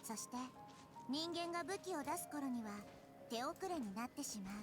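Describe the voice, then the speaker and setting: A wise child deity from an anime game, with a very high, melodic voice. She is gentle and thoughtful, explaining things with composure over clear background music. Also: expressive, quick pace. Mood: reflective. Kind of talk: anime video game acting.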